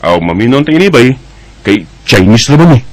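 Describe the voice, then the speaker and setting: A man's voice: mid-range voice, sing-song, moderate pace, no room sound, faint music behind, natural voice. A man with a Bisaya accent playing a hungry, grumbling young labourer and loyal workmate in a radio drama. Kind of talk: amateur radio drama dialogue.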